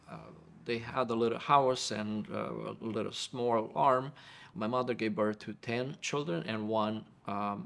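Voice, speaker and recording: A middle-aged man with a Romanian accent shares his life story as an interview guest. His voice sits low, and he speaks in an earnest, reflective way, often doubling words as he searches for them. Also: creaky, a bit bright. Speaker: guest, pastor and professor.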